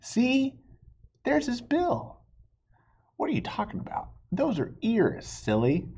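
A man voicing stubborn, childlike bickering friends from a picture book, excitable and exaggerated. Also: very high voice, takes it slowly, breathy edge, dull audio, voice pushed up, full character voice. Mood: excited bickering. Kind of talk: children's picture-book dialogue read aloud.

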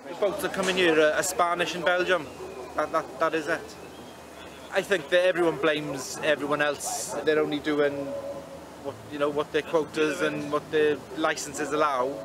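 A middle-aged British man speaks casually as a port agent. His slightly high voice stays very even, and busy outdoor sound fills the background. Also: moderate pace, crowd noise. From Wales. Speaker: shipping agent. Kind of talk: casual interview remarks. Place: outdoors on a quayside.

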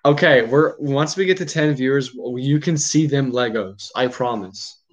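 A man's voice: mid-range voice, measured pace. A young man hosting a casual livestream at a table indoors, bantering in slangy, joking talk. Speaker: livestream host. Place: living room at a table, indoors. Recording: clicky background noise.

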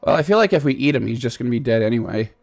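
Joking lively-voiced man gaming. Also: mid-range voice, very fast, vocal fry. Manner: joking, rambling, lots of "like". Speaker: gamer. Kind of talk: casual co-op game chat.

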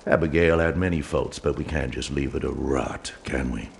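An actor playing an older, righteous and controlling cult pastor in a game, preachy and deliberate. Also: male voice, a bass voice, fairly even intonation, vocal fry, slight background noise. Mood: cold menace. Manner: preachy, deliberate, biblical phrasing. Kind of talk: dramatic game cutscene acting.

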